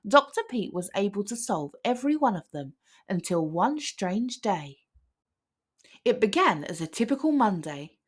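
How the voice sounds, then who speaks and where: A woman narrating a children's picture book aloud as an expressive storyteller. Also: mid-range voice, moderate pace, breathy edge. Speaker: storybook reader.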